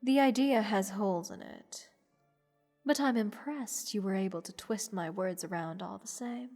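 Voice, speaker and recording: A woman voicing a playful, haughty goddess in an intimate fantasy audio roleplay, teasing and condescending toward a captive mortal in measured, theatrical speech. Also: medium pitch, darkish tone, close to an everyday voice. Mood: impressed, sly. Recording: long reverb with music behind. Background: orchestral music.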